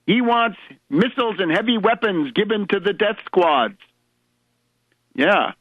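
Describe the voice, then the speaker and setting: A man's voice: high voice, lively intonation, measured pace, no echo. A man hosting a political radio show, delivering an opinionated monologue in a sardonic, emphatic way with rhetorical questions. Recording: dull lo-fi radio audio.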